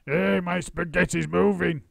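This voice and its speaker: A man voicing a grumpy, nasty old husband who talks in a gruff, sneering way. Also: a little high, measured pace, no echo, pitched above the performer's own voice, full character voice. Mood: grumbling.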